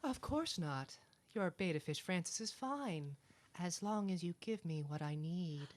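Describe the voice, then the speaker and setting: A man's voice: sits high, expressive, unhurried. A man playing a menacing young blackmailer, controlled and haughty, in a radio drama. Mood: cool menace. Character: cold, menacing young male blackmailer back from boarding school. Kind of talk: campus radio serial drama acting.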